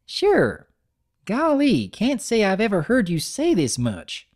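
A young cartoon filly acted out by a narrator, pitched well up and very animated. She chatters in a folksy, eager way, like a keen little sister in a storybook. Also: slow, heavily acted. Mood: bright, cheerful. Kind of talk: dramatic reading of fan fiction.

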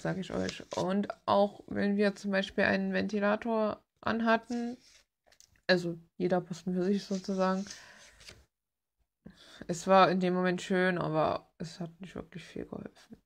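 A woman's voice: mid-range voice, fairly even intonation, moderate pace, gravelly. A young woman chatting casually in an informal, playfully ironic way, as a vlogger narrating over a drawing video. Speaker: vlogger and illustrator.

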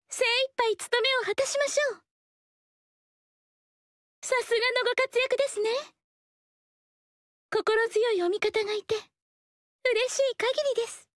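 A woman voicing a graceful, humble noble dancer in a video game, speaking softly and politely in elegant formal speech. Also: extremely high pitch, no echo, clean studio sound. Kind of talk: game character voice lines.

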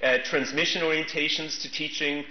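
A middle-aged man giving a conference keynote at a podium microphone, talking in a measured, thoughtful way with frequent pauses and fillers. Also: on the high side, steady tone. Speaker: keynote speaker. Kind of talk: conference lecture. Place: large conference hall. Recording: roomy, dull conference recording.

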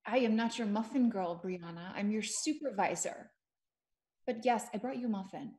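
A woman's voice: mid-range voice, moderate pace, mellow, lightly acted. An actress in her thirties playing an eager, insecure new supervisor in a comedy table read, earnest and a little self-important. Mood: flustered. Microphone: video call.

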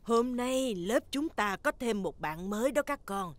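A woman's voice: on the high side, lively intonation, unhurried, no room sound. A woman voicing a kindly gazelle schoolteacher in a children's cartoon, encouraging. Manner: encouraging, addressing small children. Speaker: teacher. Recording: music bed under the voice.

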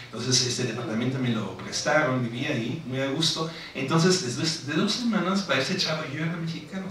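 A middle-aged man with a German accent, an author telling anecdotes on a panel, laughing as he goes and often adding tag questions. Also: mid-range voice. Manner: animated storytelling, laughing, frequent tag questions. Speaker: author at a book presentation. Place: large lecture hall. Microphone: table microphone on stand. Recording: echoey hall from far off with noise.

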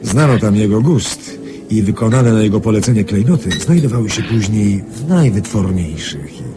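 A male actor playing a genial, crafty American gentleman traveller in a radio drama, with polished, theatrical delivery. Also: lowish voice, expressive, normal speed, over music, close to an everyday voice. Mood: relishing the tale.

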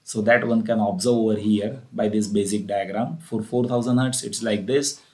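A man's voice: lowish voice, fairly even intonation, normal speed, warm tone. A man with an Indian accent giving a plain, methodical technical lecture, repeating key phrases as he explains. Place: voice-over for a screen recording.

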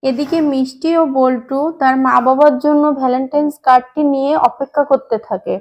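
A woman's voice: high voice, steady tone, brisk, a little mellow. A woman narrator reading a scripted story for children.

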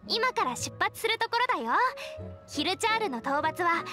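Eager, very high-pitched girl scout knight. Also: very animated, moderate pace, over music. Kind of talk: anime game voice acting.

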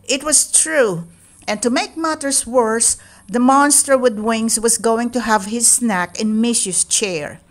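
A woman narrating a children's storybook as an expressive read-aloud, stressing key words with deliberate drama. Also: on the high side.

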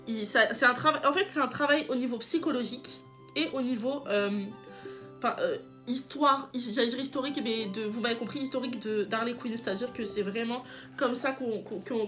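A woman's voice: fairly high pitch, fairly bright tone. Young female book vlogger, stuffy cold voice, eager and repetitive, light music bed. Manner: enthusiastic, rambling, repeats herself. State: congested from a cold. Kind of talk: casual book review vlog.

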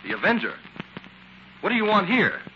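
An actor playing an outwardly obliging but scheming furniture dealer in a 1940s radio drama. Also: male voice, sits high, wildly swooping pitch, moderate pace, full character voice. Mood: alarmed, defiant. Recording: noisy, muffled 1940s radio recording with clicks.

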